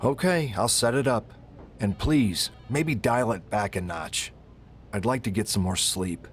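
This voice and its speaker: A man's voice: fairly low pitch, a little slow, faint music behind, natural voice. A man playing a weary wrestling official in a video game cutscene, dry and matter-of-fact.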